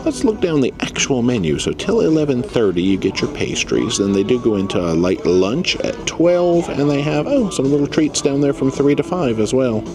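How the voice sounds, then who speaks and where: A man's voice: mid-range voice, very animated, normal speed, few pauses. A man giving casual, chatty and jokey vlog commentary as he goes along. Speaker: travel vlogger.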